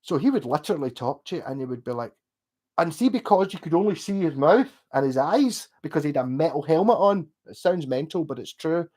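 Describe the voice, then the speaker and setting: A man's voice: fairly high pitch, lively intonation, darkish tone. Glasgow man, middle-aged, casual rambling chat, talks to camera. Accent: Glasgow Scottish. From Scotland. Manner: rambling, chatty, swears and laughs, slows down when asked. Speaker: streamer reading chat.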